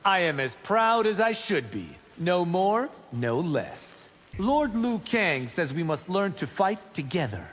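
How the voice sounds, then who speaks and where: An actor playing a boastful, brash young warrior monk in video game banter. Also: male voice, high voice, big pitch swings, takes it slowly, a bit bright, full character voice. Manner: proud, brash, self-assured. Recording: long hall reverb, clear background noise.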